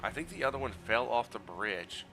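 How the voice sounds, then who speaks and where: A man streaming a video game while sick, hoarse and scratchy, talking through his play in a relaxed, explanatory way and greeting his chat. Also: brisk, soft background music. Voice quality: scratchy, low energy. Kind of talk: casual gameplay walkthrough commentary.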